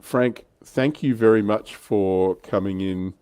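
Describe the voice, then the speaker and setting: A man's voice: on the low side, takes it slowly, darkish tone, a little hiss or hum. A middle-aged man, hosting a casual livestream chat about tabletop wargaming. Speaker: livestream host.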